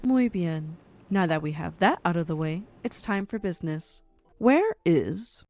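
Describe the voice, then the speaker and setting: A woman's voice: lowish voice, animated, unhurried, darkish tone, faint music behind. A woman playing a courteous but commanding underworld matriarch in an audio drama, stately and formal. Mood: composed, businesslike.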